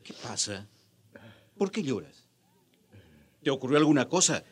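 An actor playing a puzzled, practical, good-natured Spanish farm partner in a radio drama, full of incredulous questions. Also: male voice, medium pitch, very animated, unhurried, slightly rough. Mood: concerned.

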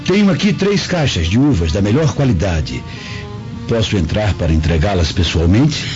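A man's voice: on the low side, sing-song, moderate pace, a little mellow. A man playing an outwardly obliging but secretly scheming merchant in a radio drama, speaking with sly politeness. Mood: ingratiating. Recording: muffled radio drama with music.

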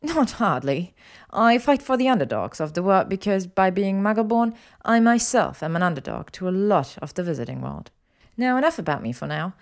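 An earnest, brainy young witch acted out by a woman narrator in an audio story. She speaks articulately and with animation, a little higher than plain narration. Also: sing-song, moderate pace.

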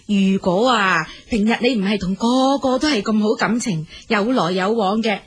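A woman's voice: mid-range voice, normal speed, slightly rough. An actress playing a proud, teasing, nagging older mother-in-law in a radio drama, exclaiming in Hong Kong Cantonese. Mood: proud, needling. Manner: exclamatory, needling.

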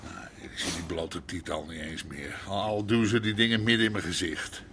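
An actor playing a gruff middle-aged Amsterdam underworld boss and father in a radio drama, blunt and grumbling. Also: low-pitched, a little fast, background noise, close to an everyday voice. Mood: evasive, grumbling. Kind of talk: naturalistic radio drama acting.

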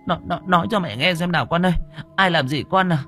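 A man playing a shrill, fussing old mother. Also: fast talker, sharp tone, faint music behind. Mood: alarmed. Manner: shrill, nagging, high-pitched.

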